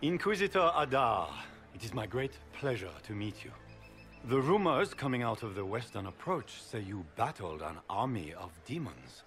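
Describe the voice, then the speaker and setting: An actor playing a suave, scheming aristocratic general in a fantasy game, courtly and grandiloquent. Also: male voice, medium pitch, very animated, moderate pace, a bit bright, faint music behind. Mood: courtly, ingratiating. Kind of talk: dramatic game cutscene dialogue.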